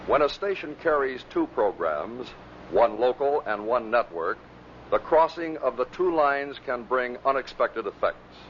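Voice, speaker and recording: A man's voice: medium pitch, expressive, unhurried. A man narrating in a scripted old-time radio style, introducing clips as the host. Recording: dull vintage radio recording, faint noise.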